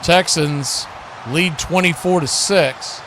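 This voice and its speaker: A man's voice: on the high side, slow pace. A man hosting a live football watch party, switching between play-by-play and casual chat. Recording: noisy feed with steady background noise.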